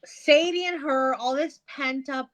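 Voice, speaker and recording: A woman's voice: sits high, measured pace. A woman chatting casually as a book club podcast host, slangy and playful, often with short interjections.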